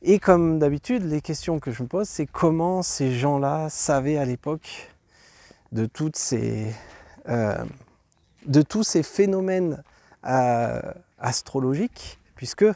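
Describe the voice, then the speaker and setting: A stuffy-nosed man narrates his walk around an outdoor site, his voice dark and mellow and a little raised. He wanders through his thoughts, stalling on fillers and restarting sentences, and the sound is dry and close. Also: fairly high pitch, measured pace, no echo. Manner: rambling, hesitant, many fillers and restarts. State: congested from a cold. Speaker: travel vlog host. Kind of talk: walking tour commentary.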